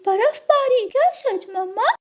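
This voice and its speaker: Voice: extremely high pitch, animated, measured pace. A performer voicing a sweet, curious little baby bird in a children's cartoon. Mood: excited wonder.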